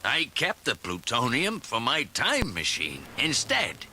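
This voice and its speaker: An actor playing an excitable, eccentric old male scientist with a dramatic, emphatic delivery in a children's read-along story. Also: medium pitch, takes it slowly, slight background noise, full character voice. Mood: proud, excited.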